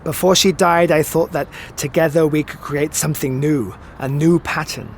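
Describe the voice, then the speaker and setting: An actor playing a wistful, evasive immortal man in an audio drama, speaking with theatrical eloquence that turns halting when he is pressed. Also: a little high, steady tone, a little hiss or hum, lightly acted. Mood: grieving, bitter.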